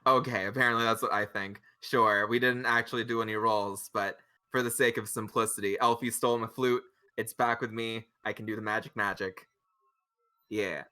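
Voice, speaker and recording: A talkative man chatting casually as a player in a tabletop roleplaying game, quick to cut in on others. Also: lowish voice, fairly even intonation, pushed and bright, no echo, soft background music.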